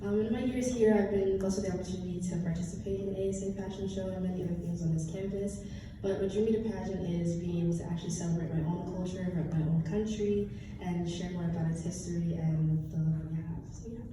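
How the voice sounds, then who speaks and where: A young woman speaking briskly and softly as a pageant contestant. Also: on the low side, fairly even intonation. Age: young adult. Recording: echoey hall from far off, noisy.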